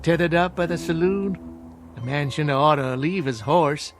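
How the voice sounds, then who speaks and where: An American actor playing a wheedling, folksy small-town drifter in an old television western. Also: male voice, fairly high pitch, moderate pace, close to an everyday voice. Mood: sly, wheedling. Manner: folksy, needling, insinuating. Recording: vintage TV soundtrack with music underneath.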